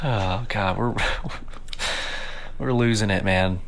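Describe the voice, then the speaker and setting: A man's voice: fairly low pitch, very slow, vocal fry, fairly bright tone. A man co-hosting a podcast in casual chat, giggling, swearing casually and swinging his tone up and down. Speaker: podcast co-host.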